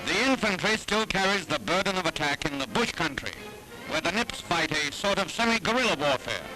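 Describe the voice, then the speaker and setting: A man's voice: high voice, animated, a little fast, low-quality recording. An American man narrates a 1940s newsreel in a raised, declamatory voice. His delivery is dramatic and scripted, with music playing behind him on an archival recording.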